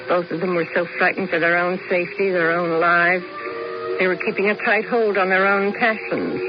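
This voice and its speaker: A woman's voice: medium pitch, highs cut off. An actress playing a cool, scheming woman who narrates a 1970s radio drama. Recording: hissy old radio broadcast.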